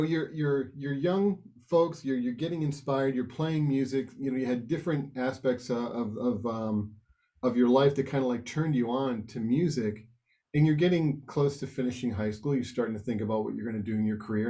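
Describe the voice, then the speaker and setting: A man hosting an online panel, asking questions with encouraging, halting restarts. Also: mid-range voice, dark tone. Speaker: host.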